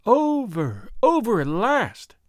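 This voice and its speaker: A man's voice: sits high, big pitch swings, extremely slow, a bit bright, no echo, studio quality, full character voice. A man voicing a chorus of eerie, possessive, gloating spirits in an audiobook, chanting in sing-song repetition.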